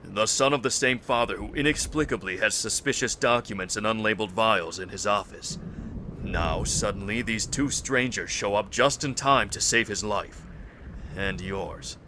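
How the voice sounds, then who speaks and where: Man playing a low-voiced suspicious protector, serious, deliberate, authoritative, audio drama, noticeable background noise. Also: pushed and bright, low-quality recording. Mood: tense, insistent. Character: guarded, suspicious man with security training.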